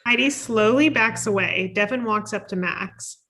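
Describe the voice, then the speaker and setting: Bright-voiced woman reading stage directions. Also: mid-range voice, moderate pace. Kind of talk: scripted narration of screenplay directions.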